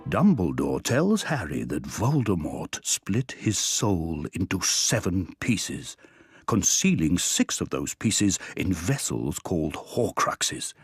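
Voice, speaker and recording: A man's voice: deep voice, slightly rough, no echo, studio quality. A man narrating a scripted story as a game's narrator.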